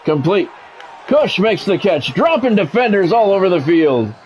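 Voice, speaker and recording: Higher-pitched man calling football play-by-play. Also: very animated, normal speed, a little mellow, a little hiss or hum. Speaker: game streamer calling play-by-play of his own football video game. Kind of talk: sports play-by-play commentary mixed with casual gamer talk.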